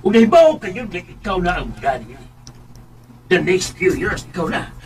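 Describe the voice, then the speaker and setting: A man's voice: sits high, very animated, moderate pace. A man playing a blunt, bossy middle-aged businessman father in a radio drama, with a Cebuano Filipino accent and bits of English. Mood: pressing, dismissive. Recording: noticeable background noise.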